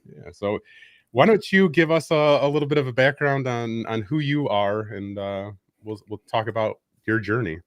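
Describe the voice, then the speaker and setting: A man's voice: mid-range voice, normal speed, no echo. A man hosting a live stream interview in an informal, conversational way, speaking close to the microphone. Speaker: show host and interviewer.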